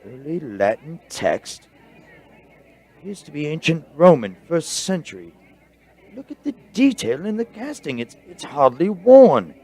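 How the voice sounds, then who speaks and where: An actor playing an excitable, bookish male scholar in a radio drama, with a husky voice and a halting, explanatory delivery. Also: on the high side, exaggerated voice. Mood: amazed.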